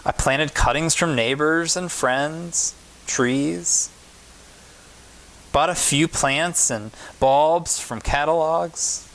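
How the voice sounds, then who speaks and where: A kind older woman voiced by a man from a storybook, a gardener who reminisces patiently. The delivery is slow and unhurried, gently questioning and teaching. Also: fairly high pitch, voice pushed up, rough recording. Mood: reminiscing. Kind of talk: storybook character dialogue.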